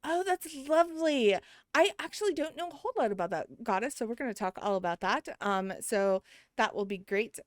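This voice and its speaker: A woman's voice: a little high, lively intonation, a little fast, dry recording, studio quality. A woman hosting a casual podcast interview, enthusiastic and bubbly, with fillers like um. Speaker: podcast host.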